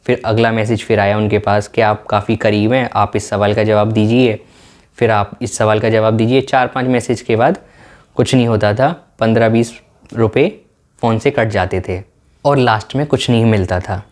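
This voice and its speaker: A man's voice: lowish voice, steady tone, normal speed. A man narrating in an explanatory, documentary style.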